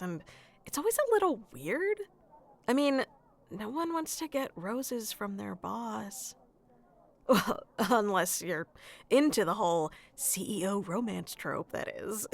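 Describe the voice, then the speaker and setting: A woman playing a sweet, flustered florist secretly in love with a best friend, in an intimate audio roleplay with a hesitant, soft-hearted manner. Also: fairly high pitch, heavily acted. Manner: hesitant, soft-hearted, breaking into nervous chuckles and sighs. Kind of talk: intimate ASMR roleplay acting.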